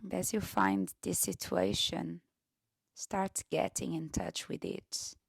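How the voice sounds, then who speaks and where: A woman leading a guided meditation in a gentle, soft-spoken way, often slipping into near-whisper with pauses. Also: low-pitched, sing-song, vocal fry, husky, no room sound.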